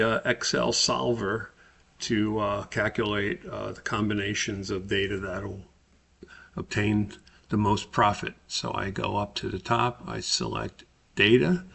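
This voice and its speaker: A man narrating a spreadsheet tutorial as an instructor, explaining step by step in a halting way. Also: lowish voice, creaky, a bit bright, husky.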